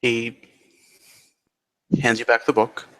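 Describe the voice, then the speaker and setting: Bright-voiced man narrating a roleplay. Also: medium pitch, flat delivery, takes it slowly, poor audio. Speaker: roleplay game player. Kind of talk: improvised third-person narration of a tabletop roleplay.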